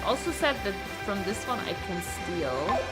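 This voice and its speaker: A woman chatting casually as a game streamer, in a rambling, animated way with casual swearing. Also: mid-range voice, a little slow. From Germany. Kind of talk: casual live gameplay chat. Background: music.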